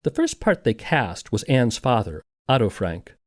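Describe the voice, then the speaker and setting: Lively male documentary narrator. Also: mid-range voice, measured pace. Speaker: podcast narrator and interviewer.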